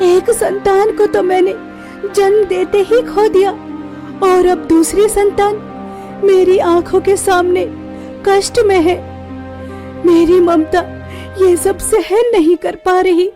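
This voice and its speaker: A woman's voice: very high-pitched, steady tone, takes it slowly, pauses often, music in the background. A woman playing a sorrowful queen who implores in anguish, in a melodramatic cartoon story. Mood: anguished, imploring. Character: grieving queen, anguished mother of sick prince.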